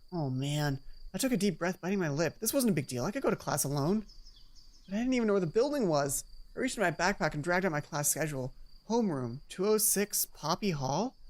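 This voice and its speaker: Low-voiced woman narrating over music. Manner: animated, self-correcting, repeats lines to rehearse them. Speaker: let's play host and narrator. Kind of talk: reading a visual novel aloud with casual asides. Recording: music playing behind the voice.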